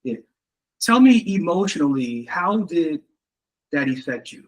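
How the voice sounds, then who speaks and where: A man hosting an interview, asking relaxed questions and affirming his guest often. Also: a little high, measured pace, low-quality recording. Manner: easygoing, relaxed, affirming with "yeah" and "wow". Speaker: interview host.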